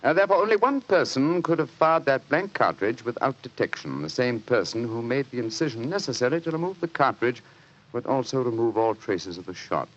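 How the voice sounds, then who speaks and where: An actor playing a confident, analytical English gentleman detective, precise and assured, in 1940s radio mystery style. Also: mid-range voice, expressive, fairly quick. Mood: triumphant. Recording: muffled old 1940s radio broadcast.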